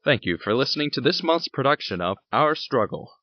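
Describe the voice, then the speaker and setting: A man's voice: medium pitch, big pitch swings, a little fast, dull audio, loud music behind the voice. A man reading scripted narration for the credits of an audio drama podcast.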